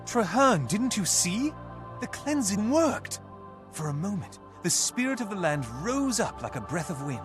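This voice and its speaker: A man's voice: high-pitched, big pitch swings, a little slow. An actor playing a devoted plant-folk soldier in a video game, fervent and formal in his delivery. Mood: hopeful. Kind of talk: dramatic video game cutscene acting. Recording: voice over loud game music.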